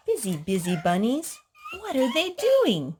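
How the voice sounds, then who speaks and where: A woman's voice: medium pitch, big pitch swings, measured pace, darkish tone, slight background noise. A woman hosting a children's storytime, reading picture books aloud and asking little prompting questions in a child-directed way.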